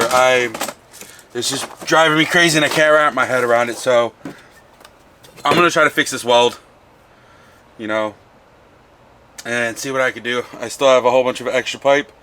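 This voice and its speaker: A man's voice: mid-range voice, pushed and bright, a little hiss or hum. A man with a nasal voice from a cold, chatting casually about working on his car in a home garage. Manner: casual, mutters while working, swears. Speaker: car project vlogger.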